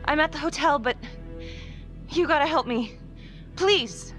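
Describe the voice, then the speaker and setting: An actress playing a tough, desperate homicide detective in a crime thriller game, speaking in a tense, halting, urgent way. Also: female voice, high-pitched, a bit bright, faint music behind. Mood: pleading.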